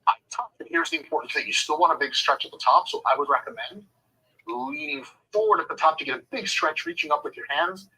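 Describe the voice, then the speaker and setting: A man's voice: fairly high pitch, expressive, fast talker, bright, forceful tone, husky, low-quality recording. A man giving casual fitness advice in a profane, joking way, wandering into digressions and talking through lifting technique. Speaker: fitness coach.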